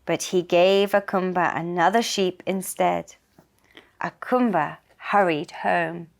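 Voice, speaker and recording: A woman narrating a children's folktale for a puppet show in a simple, deliberate storytelling manner. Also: on the low side, fairly even intonation, vocal fry.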